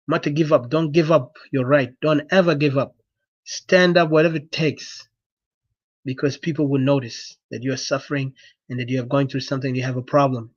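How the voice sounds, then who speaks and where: A man's voice: medium pitch, dry recording, lo-fi sound. A man from South Sudan with a South Sudanese accent, a non-native English speaker giving an earnest, exhorting political address off the cuff, often repeating himself. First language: not English. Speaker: activist speaker addressing supporters. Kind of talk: impassioned political address, unscripted.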